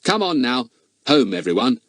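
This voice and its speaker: A clever, confident boy leader gives quick, brisk orders in a lifted voice, put on by a man reading aloud. The delivery is lively and up close, with a dry sound. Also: medium pitch, no echo, voice pushed up. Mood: pleased. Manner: brisk, commanding, a little raised. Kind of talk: audiobook character voice.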